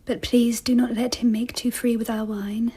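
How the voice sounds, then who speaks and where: An actress playing a wary, proud empress in a radio drama, speaking in a cool, breathy whisper. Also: female voice, on the high side, level tone, moderate pace. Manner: cool, sceptical, quietly confiding.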